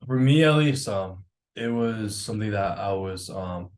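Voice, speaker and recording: A man's voice: lowish voice, expressive, a little slow. A man in his twenties with an American accent, casually answering interview questions as a college student. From Jacksonville, United States. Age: 20s.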